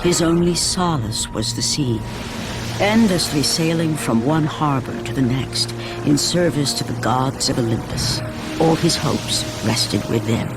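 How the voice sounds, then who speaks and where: A man's voice: on the high side, measured pace. A man giving grave, dramatic scripted narration for a video game cutscene. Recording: loud music behind.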